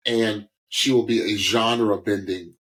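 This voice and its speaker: A man co-hosting a music podcast, giving casual, opinionated commentary on singers in a halting way. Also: on the low side, steady tone, fairly bright tone. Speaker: podcast co-host, singing critic.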